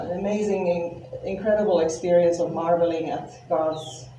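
A woman's voice: lowish voice, mellow, a little hiss or hum. A woman from Finland with a Finnish accent, giving her first sermon humbly, with light self-deprecating humor and some hesitant fillers. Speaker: lay preacher. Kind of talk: sermon introduction. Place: church hall. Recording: echoey church hall, distant mic, faint ambience.

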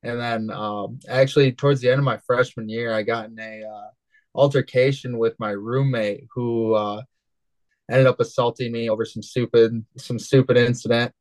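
A young man in his twenties from West Michigan talks casually as an interview guest, easygoing and loose, with plenty of "you know" and "uh". His voice sits at an everyday middle pitch. The recording is dry and close, with little room sound. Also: normal speed, no echo, rough recording. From West Michigan, USA. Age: 20s. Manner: relaxed, rambling, many fillers. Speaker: guest, cannabis entrepreneur.

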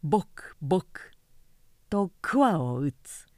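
A woman who narrates a literary novel as a professional reader, in a measured and deliberate manner. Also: on the low side, very animated, darkish tone, no echo, clean studio sound.

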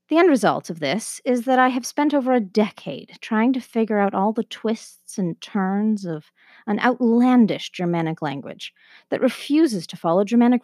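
A woman podcast host in her thirties reads her script with lively, swooping intonation. Her delivery is wry and self-deprecating, and her medium-pitched voice is recorded close and dry. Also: no echo.

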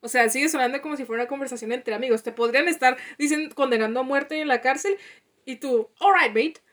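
A woman's voice: sits high, fast, fairly bright tone. A young woman with a northern Mexican accent, chatting casually with her sister and teasing her. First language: Spanish. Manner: chatty, teasing, laughs often. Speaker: co-host, sister. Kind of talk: casual chat.

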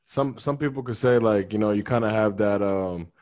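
A man's voice: on the low side, moderate pace, darkish tone. A man interviewing a rapper, asking slangy, casual questions full of restarts. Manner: slangy, friendly, stacks hesitant restarts.

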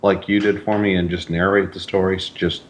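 Deep-voiced man, modest guest. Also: flat delivery, moderate pace, a little hiss or hum. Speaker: writer, interview guest. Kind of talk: casual interview chat.

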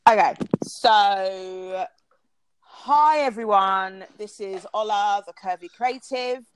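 A woman's voice: medium pitch, slow, smooth, no room sound. Chatty British woman, dry close sound. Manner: chatty, laughs often. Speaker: podcast host. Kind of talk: casual chat.

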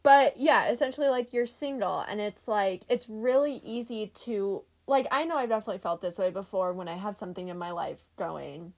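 Chatty woman in her 20s, podcast co-host, animated, says like a lot. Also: medium pitch, brisk, few pauses. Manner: chatty, animated, lots of "like" and laughter. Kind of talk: casual chat.

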